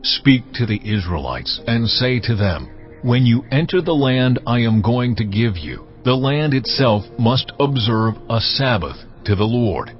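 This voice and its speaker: A man playing God in a dramatized audio Bible, giving laws in a solemn, measured, commanding manner. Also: mid-range voice, expressive, natural voice. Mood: solemn, instructing. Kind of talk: dramatized scripture reading. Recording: dull lo-fi audio, music underneath.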